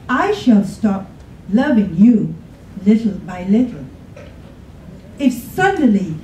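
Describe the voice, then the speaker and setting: A woman giving a dramatic poetry recitation in a theatrical way, with pauses, at a podium. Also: mid-range voice, warm tone, lo-fi sound. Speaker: poetry contest reader. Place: college room, at a podium. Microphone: podium microphone, filmed from the audience. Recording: echoey room, distant, faint noise.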